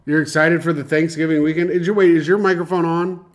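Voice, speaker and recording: A man co-hosting a casual comedy podcast, loose and teasing, quick to laugh. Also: fairly high pitch, fairly even intonation. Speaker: podcast co-host.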